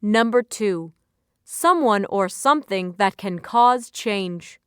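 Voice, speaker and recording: A woman reading scripted vocabulary entries and example sentences as an educational narrator, enunciating carefully in a measured way. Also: mid-range voice, expressive, a bit bright, smooth, no echo, clean studio sound.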